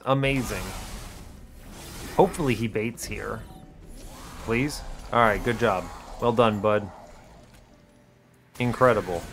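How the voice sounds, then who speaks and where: A man hosting a gaming video, giving casual play-by-play in short, understated, dry remarks. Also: medium pitch. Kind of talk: casual gameplay commentary. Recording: music behind the voice.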